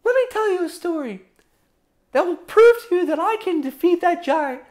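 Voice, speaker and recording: High squeaky brave shepherd boy. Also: expressive, moderate pace, a little mellow. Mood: eager, boastful. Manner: squeaky, earnest, insistent. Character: brave, earnest, shrimpy young shepherd boy David.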